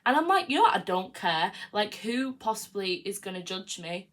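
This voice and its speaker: A woman's voice: mid-range voice, very animated, normal speed, fairly bright tone. A young woman in her early twenties hosts a podcast, talking through it in a casual solo monologue. She is frank and self-affirming, and fills her talk with "like" and "you know what I mean". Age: early 20s. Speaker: podcast host.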